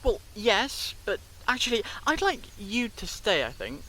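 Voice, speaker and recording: A man's voice: high voice, big pitch swings, unhurried, pushed and bright, a little hiss or hum, heavily acted, poor audio. A man playing a wry, protective time-travelling investigator in a fan audio drama. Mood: cautioning.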